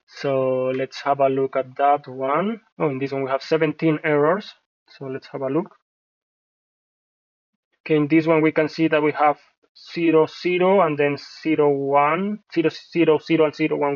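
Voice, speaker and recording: A man from Colombia whose first language is Spanish, hosting a software tutorial and talking through a screen-share at a measured pace with frequent fillers. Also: a little high, no echo, muffled recording. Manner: thinking aloud, measured, many fillers. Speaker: host reviewing software scripts.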